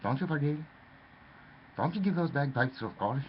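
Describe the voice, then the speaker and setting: A man's voice: mid-range voice, lively intonation, fairly quick. A man telling a rambling, theatrical story, addressing the listener as "lad". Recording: dull lo-fi with faint noise.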